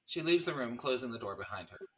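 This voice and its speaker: A man's voice: medium pitch, animated, fast talker, a bit bright, low-quality recording. A man narrating scene headings and stage directions from a script at a table read.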